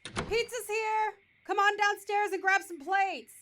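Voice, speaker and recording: A woman's voice: very high-pitched, fairly even intonation, measured pace, background noise. A woman playing a cheerful, affectionate mother in an audio drama, with a homely, caring manner.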